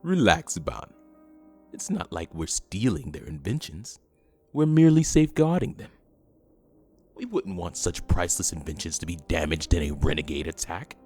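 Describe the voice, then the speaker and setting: A man playing an imperious, impatient, scheming official with a commanding, smooth-talking menace in an audio drama. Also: low-pitched, very animated, moderate pace, darkish tone, soft background music, exaggerated voice. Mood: smugly placating. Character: imperious, impatient, scheming male government schemer with metal arm.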